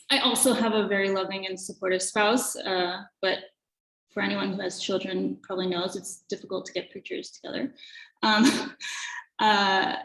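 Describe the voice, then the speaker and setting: A woman in her thirties from Hawaii giving a thoughtful academic presentation, pausing often with fillers. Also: mid-range voice, a bit bright. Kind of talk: conference presentation with slides. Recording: echoey room.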